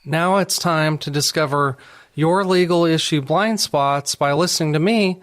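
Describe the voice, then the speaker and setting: A man with a Texan accent hosting a legal radio talk show in a steady, measured way. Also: fairly high pitch. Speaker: attorney and radio host.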